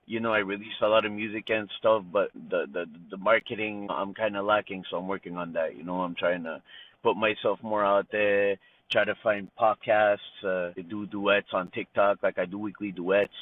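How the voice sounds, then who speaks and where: A man's voice: on the low side, steady tone, moderate pace. A man from Montreal, Canada, a rapper talking casually in an interview, heavy on slang and "you know". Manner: laid-back slang, frequent "you know", "man", "bro". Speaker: rapper guest. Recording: tinny phone-like call line.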